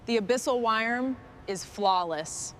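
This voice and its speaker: A woman with a fairly high voice talks herself through restoration work. Her remarks are short, clipped and approving. Faint ambient and vehicle noise sits behind her. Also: slow pace. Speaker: restorer narrating own work. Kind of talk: short task commentary during vehicle restoration.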